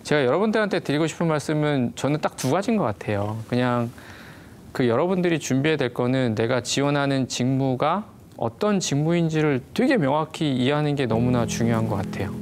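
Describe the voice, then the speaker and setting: A man's voice: mid-range voice, animated, fairly quick, a little mellow, slight background noise. A man who works as an interview evaluator, explaining in a conversational, repetitive way. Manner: explanatory, conversational, repeats "왜냐하면". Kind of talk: interview and mock job interview.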